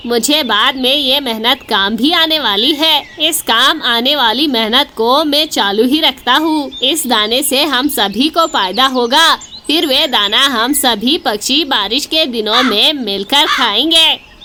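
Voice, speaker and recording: A woman's voice: high voice, slow pace, pushed and bright, a little hiss or hum, full character voice. A woman voicing an agreeable, diligent male bird, earnest and determined, in a children's cartoon story. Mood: weary, determined.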